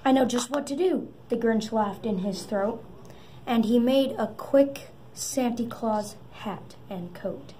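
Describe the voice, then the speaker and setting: Slow, high-voiced woman reading a storybook. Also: warm tone. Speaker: storybook reader. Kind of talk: reading a children's story aloud. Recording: noisy amateur recording.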